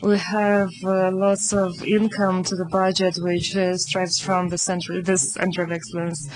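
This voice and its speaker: A woman with a lowish voice gives a live spoken interpretation into English. She works haltingly, breaking off mid-word and filling gaps with "uh" as she finds the phrasing. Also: flat delivery, crowd noise, low-quality recording. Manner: halting, with restarts and "uh"s.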